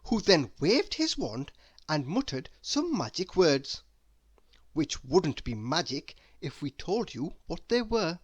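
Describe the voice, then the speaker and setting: A narrator reading a children's story aloud in an expressive, scripted storytelling style. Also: mid-range voice, takes it slowly, husky, no echo. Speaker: storybook reader.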